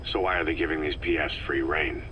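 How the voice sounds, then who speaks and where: A man playing a gruff, weary, middle-aged mercenary commander in a video game, speaking in terse, understated lines. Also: quick pace, muffled recording. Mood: terse, questioning. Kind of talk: dramatic video game dialogue. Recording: noisy cassette tape with vehicle rumble.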